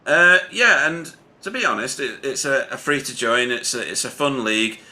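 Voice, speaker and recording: Bright-voiced man hosting a race interview. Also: mid-range voice, expressive, moderate pace. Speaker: sim racing commentator and interviewer. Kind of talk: casual post-race interview.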